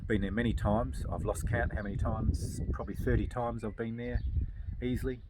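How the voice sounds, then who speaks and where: A man's voice: medium pitch, fairly even intonation, a little fast. A New man in his fifties chatting casually, a laid-back storyteller who laughs easily and says "you know" often. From New Zealand. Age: 50s. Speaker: guest builder. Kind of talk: casual chat. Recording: background noise.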